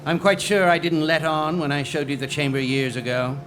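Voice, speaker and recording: A man playing a haughty, evasive gentleman archaeologist in a macabre audio drama, with an arch, sardonic, pompous delivery. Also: on the high side, level tone, a little fast, noisy background, lightly acted. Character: haughty, evasive gentleman archaeologist, scornful male rival named Stanley.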